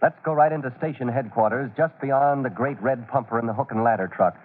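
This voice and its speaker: A man's voice: medium pitch, fairly quick. A man narrating a vintage radio drama as its announcer, with an emphatic, theatrical delivery. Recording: tinny noisy old radio recording.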